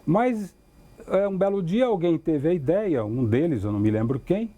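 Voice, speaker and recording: A man's voice: fairly high pitch, expressive, normal speed, warm tone. An older man with a Brazilian accent, a veteran radio reporter reminiscing in an interview.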